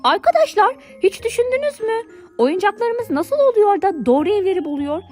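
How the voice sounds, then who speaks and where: A woman voicing a curious, excitable little girl in a children's storybook tale, with an eager, wondering manner. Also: very high voice, moderate pace, soft background music, voice pushed up.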